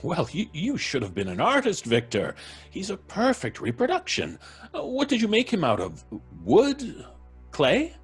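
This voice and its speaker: Fussy older professor, very husky, wildly swooping pitch, stammering, old-time radio horror. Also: male voice, wildly swooping pitch, a little slow, soft background music, heavily acted. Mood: astonished. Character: genteel, moralising older male professor, easily horrified.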